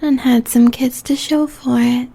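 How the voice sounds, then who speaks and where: A woman's voice: high-pitched, fairly even intonation, mellow, exaggerated voice. A British woman playing a sinister, predatory spirit guide in a comic audio drama, with a menacing drawl and darkly amused manner. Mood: sly, taunting. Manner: slow, menacing, breathy drawl with whispered phrases.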